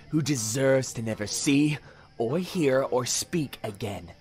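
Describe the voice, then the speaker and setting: An actor playing a sinister, self-righteous serial killer in an audio drama, taunting and theatrical as he philosophizes. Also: medium pitch. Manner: theatrical, deliberate, taunting menace with whispered dips. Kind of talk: audio drama villain monologue.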